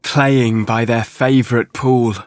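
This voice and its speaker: Slow, gentle man reading a bedtime story. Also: medium pitch, fairly even intonation, dry recording. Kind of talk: scripted children's bedtime story narration. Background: music.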